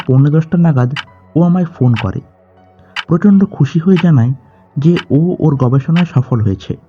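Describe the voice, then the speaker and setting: An actor playing a guarded middle-aged doctor in an audio drama, speaking in a clipped, formal way. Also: male voice, on the high side. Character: curt, guarded doctor in his fifties, grieving a friend. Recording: slightly dull voice track under music. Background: background music.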